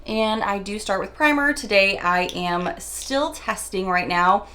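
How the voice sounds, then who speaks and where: Raspy-voiced woman chatting through her makeup. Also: mid-range voice, unhurried, sharp tone. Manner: nervous, self-deprecating, rambling with stumbles. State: raspy morning voice. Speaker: beauty vlogger. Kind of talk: chatty get-ready-with-me monologue.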